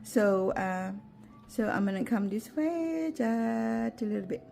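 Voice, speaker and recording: Gentle Thai-accented woman with halting speech. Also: on the high side, animated, gravelly. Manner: gentle, halting, with many fillers and restarts. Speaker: gardening vlogger. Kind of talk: unscripted walking tour vlog.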